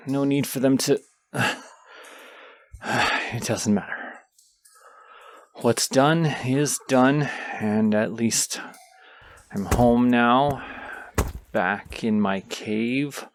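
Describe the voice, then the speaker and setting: A man playing a wounded, haughty dragon in a fantasy audio roleplay, with theatrical, condescending, drawn-out phrasing. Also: medium pitch, a little hiss or hum. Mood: weary, resentful.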